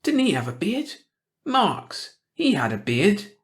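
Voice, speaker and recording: A man voicing a sharp-faced, nosy small-town woman who talks in a suspicious, gossipy way. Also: sits high, huge pitch swings, takes it slowly, voice pushed up, full character voice.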